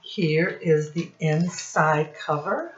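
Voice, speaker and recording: A woman teacher speaking to young children in a singsong instructional style, with simple phrases and deliberate repetition. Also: deep voice, reverberant. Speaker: children's teacher and read-aloud host. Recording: dull, lo-fi sound.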